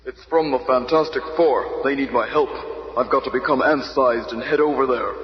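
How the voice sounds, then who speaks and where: A man plays a resolute scientist hero in a radio drama. He speaks urgently at a middle pitch in a dull, strongly echoing recording. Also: moderate pace, slight background noise, lightly acted. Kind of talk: radio play acting.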